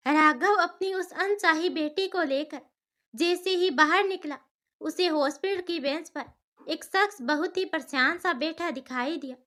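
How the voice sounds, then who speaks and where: A woman's voice: very high-pitched, unhurried, fairly bright tone. A woman storyteller narrating a scripted cartoon family tale.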